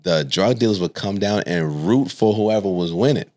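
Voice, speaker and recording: Deep-voiced man telling his story, dry sound. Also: moderate pace, darkish tone, no room sound. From Stamford, Connecticut, United States. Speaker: podcast guest, speaker and coach. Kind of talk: casual personal storytelling. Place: studio.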